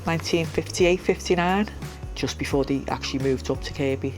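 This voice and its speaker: A man's voice: fairly high pitch, brisk. Chatty man telling family anecdotes. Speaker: interviewee. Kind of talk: documentary interview. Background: background music.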